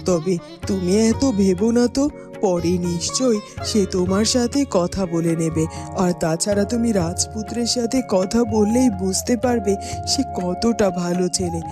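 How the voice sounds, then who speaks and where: A woman's voice: medium pitch, normal speed, music in the background, lightly acted. A woman playing a kindly royal aunt in a fairy-tale cartoon, coaxing and reassuring as she explains things.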